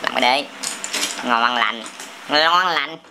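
A man's voice: mid-range voice, a little slow. A man with a southern Vietnamese accent chatting casually and playfully with his young son, often mouthing sound effects. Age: adult. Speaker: father playing with his young son on a family toy channel. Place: small tiled room indoors. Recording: noisy home camera recording, plastic bricks clicking.

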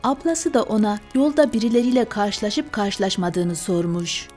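A woman's voice: mid-range voice, moderate pace, a little mellow. Woman narrating a bedtime fairy tale over music. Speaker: storyteller. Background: music and fire sounds.

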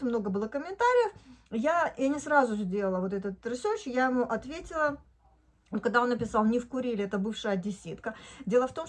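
Chatty woman in her fifties, slightly high voice. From Odesa, Ukraine. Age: 50s. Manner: chatty and indignant, bursts into laughter. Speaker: vlogger. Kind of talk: casual video diary monologue. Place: living room at home, indoors. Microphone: phone camera at arm's length.

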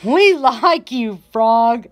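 A woman's voice: a little high, animated, very slow. A woman voicing a boastful, mocking male donkey in a children's storybook, with a teasing, haughty delivery.

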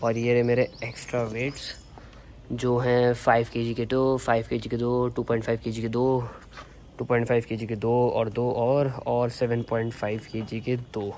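A young man with an Indian accent walks viewers through his home gym setup in an easy, chatty way. His voice sits at a middle pitch and stays fairly even. A faint outdoor ambience comes from the rooftop. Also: moderate pace, slight background noise. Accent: Indian English. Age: young adult. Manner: chatty, mixing Hindi and English. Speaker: fitness YouTuber. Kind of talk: casual home gym tour. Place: sunny rooftop terrace.

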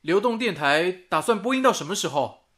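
A man's voice: sits high, expressive, a little fast, fairly bright tone. An actor playing a dutiful, businesslike man at a wartime radio station, in radio drama dialogue. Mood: concerned.

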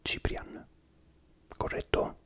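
A man's voice: slow, fairly bright tone. A man whispering throughout as he hosts an ASMR live stream, chatting and rambling to viewers by name.

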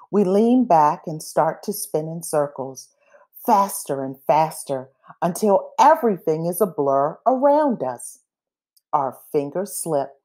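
Slow, low-voiced woman reading a picture book. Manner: expressive, acts out dialogue and pauses between phrases. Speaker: storybook reader. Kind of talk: children's picture book read-aloud.

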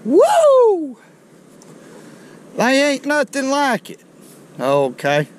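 A middle-aged man, chatting to viewers in a folksy, excited way while fishing from a riverbank, recorded on a chest-mounted camera outdoors. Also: extremely high pitch, extremely slow, a little hiss or hum. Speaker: fishing video host. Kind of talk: casual outdoor commentary. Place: outdoors on a rocky riverbank below a dam. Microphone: chest-mounted action camera.

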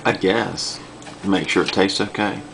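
Very deep-voiced man coaching from behind the camera. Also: steady tone, unhurried, vocal fry, background noise. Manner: gently coaching, asking playful questions. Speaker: parent filming a child's cooking show. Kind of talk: casual home video chat. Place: home kitchen, indoors. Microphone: handheld camcorder mic, off screen behind camera.

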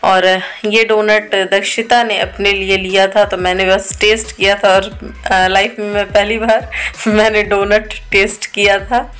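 A woman's voice: medium pitch, little pitch movement, a little slow, fairly bright tone. A woman in her thirties from India narrating her family's day out in a casual vlog voice-over, chatty and storytelling. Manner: chatty, storytelling, filler-heavy. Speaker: vlogger.

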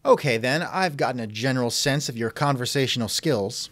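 A man's voice: medium pitch, brisk, a bit bright, dry recording, studio quality. A man hosting a conversational interview, asking questions in a wry, skeptical manner. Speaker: interviewer, host. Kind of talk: reenacted text-chat interview, conversational.

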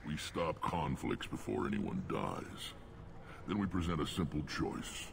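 A man voicing a gruff, battle-worn alien clan chief in a video game, blunt and commanding, with a gravelly rumble. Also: sing-song, unhurried, full character voice. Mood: firm, proud.

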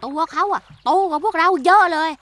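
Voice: extremely high pitch, a little fast, fairly bright tone, no echo. A voice actor playing a small, chirpy fish who leads the others. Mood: worried. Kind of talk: cartoon fable voice acting.

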